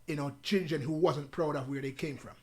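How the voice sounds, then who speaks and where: A man from the UK with a Jamaican accent, the guest on an interview show, telling stories in a relaxed, patois-laced way. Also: a little high, fairly quick, husky. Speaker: guest artist. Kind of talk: casual interview chat.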